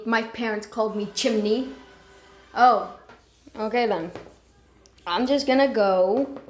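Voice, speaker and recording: A woman's voice: medium pitch, lightly acted. A woman voicing an awkward, self-deprecating young cat inventor in cartoon voice lines, hesitant and stammering. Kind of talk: fan-made cartoon character voice lines. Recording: roomy, echoing home recording.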